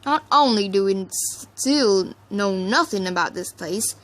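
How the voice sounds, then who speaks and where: Woman putting on a folksy country pony, cautious and firm, lively pitch. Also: slow pace, poor audio. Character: practical, cautious country mare, bossy and folksy.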